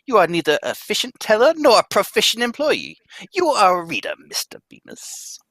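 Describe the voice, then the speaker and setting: A man voicing a stern bank president who lectures his employee in a pompous, emphatic way. The voice is bright and forceful, swinging widely in pitch, and recorded dry and close. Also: wildly swooping pitch, moderate pace, no echo, heavily acted. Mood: stern lecturing. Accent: American. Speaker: live script reader. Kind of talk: live radio-play reading.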